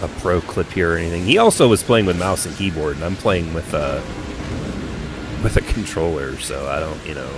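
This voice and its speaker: Hoarse deep-voiced man streaming a game. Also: animated, brisk, background noise. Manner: rambling, laid-back, self-correcting. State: hoarse, croaky from illness. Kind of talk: casual live gameplay commentary. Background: game music.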